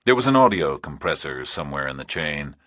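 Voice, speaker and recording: A man's voice: a bass voice, very animated, a little fast, sharp tone, breathy edge, no room sound, studio quality. A man reading scripted thriller narration as an audiobook narrator.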